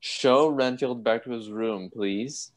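A man playing a composed, grave asylum doctor in a radio play, speaking with measured, clipped authority. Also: mid-range voice, close to an everyday voice. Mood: firm, calm.

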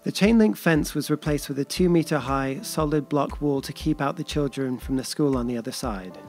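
A man reading scripted voice-over narration in a steady, explanatory way. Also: on the high side, normal speed, faint music behind.

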